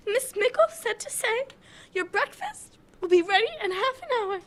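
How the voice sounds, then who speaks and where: A woman's voice: very high voice, expressive, normal speed, very noisy. A woman playing a timid, sorrowful young English village maid in an old-time radio drama. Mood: meek, polite.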